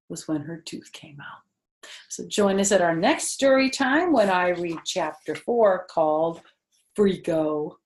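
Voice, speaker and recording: Older woman, storytime reader, slightly low pitch, expressive children's narration. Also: gravelly. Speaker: storytime reader, former first-grade teacher.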